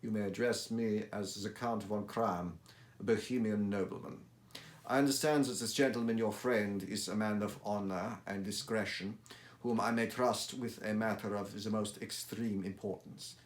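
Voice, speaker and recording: A haughty, secretive nobleman, as a male narrator puts him on with a deep, harsh voice and a German accent, speaking in stately, formal phrases. The low voice sits in an echoey room. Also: fairly even intonation, normal speed, lightly acted. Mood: grave, formal.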